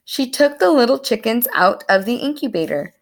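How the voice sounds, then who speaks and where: A woman reading a story aloud to young children in an animated, expressive storytelling manner. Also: a little high, normal speed, vocal fry. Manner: animated, explains words to young listeners.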